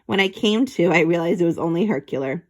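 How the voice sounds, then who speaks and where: A woman with a slightly low voice reads a children's story aloud. Her delivery is very animated and playful, with theatrical emphasis as she narrates. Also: fast talker. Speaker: storybook reader. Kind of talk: expressive read-aloud narration of a children's book.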